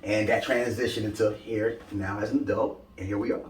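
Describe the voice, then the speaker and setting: Upbeat Brooklyn man, low voice, roomy. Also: steady tone, a little fast. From Brooklyn, New York. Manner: upbeat, talks in loose run-ons with many "you know" fillers. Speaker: brand founder, interviewee. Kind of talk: casual interview answers. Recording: echoey room, mic at a distance.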